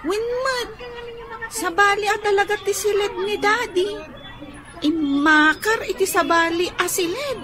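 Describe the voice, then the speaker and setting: A woman's voice: very high-pitched, a little slow, a crowd in the background. A woman playing an anxious, wide-eyed little girl in a radio drama. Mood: curious, startled.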